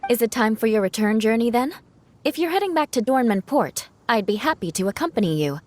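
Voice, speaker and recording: A woman's voice: a little high, expressive, a little fast, darkish tone, a little hiss or hum, lightly acted. An actress playing a dutiful young female knight officer in a video game, speaking politely and formally. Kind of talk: video game cutscene acting. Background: background music.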